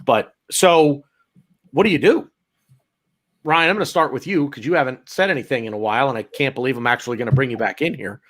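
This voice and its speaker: A man's voice: mid-range voice, a little fast, fairly bright tone. A man hosting a football podcast in casual chat, playful and teasing, asking long questions. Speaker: podcast host.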